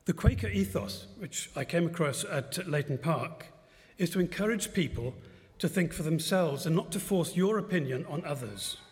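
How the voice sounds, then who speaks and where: A man's voice: fairly high pitch, expressive, moderate pace. Older man telling his story in a church. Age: 70s. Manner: gentle, reflective, lightly self-mocking storytelling. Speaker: guest speaker at a church service. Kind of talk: personal testimony talk. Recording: long church-hall reverb.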